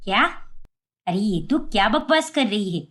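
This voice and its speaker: A woman voicing a bossy, conservative older mother-in-law in an animated family story, sharp-tongued and nagging. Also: mid-range voice, a little slow. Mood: scolding, indignant.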